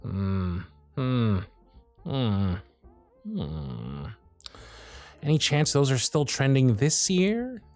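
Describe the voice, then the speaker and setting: A harried male elf supervisor, voiced by a man, scolds his team in a sarcastic, scolding tone. His pitch rises and falls widely while the delivery stays slow and unhurried. Faint music plays underneath this roleplay audio. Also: huge pitch swings, darkish tone, exaggerated voice. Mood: exasperated. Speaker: workshop supervisor.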